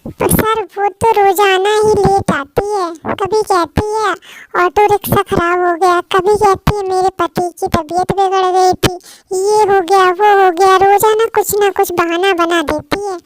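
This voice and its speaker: A woman's voice: very high-pitched, fairly even intonation, a little slow, pitched above the performer's own voice, poor audio. A woman voicing a jealous, tattling young shop assistant in a cartoon story, whining and complaining.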